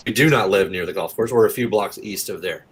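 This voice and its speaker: A man's voice: fairly low pitch, fast, breathy edge. A man talking casually as an interview guest, in an easygoing way with conversational asides. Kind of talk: casual chat.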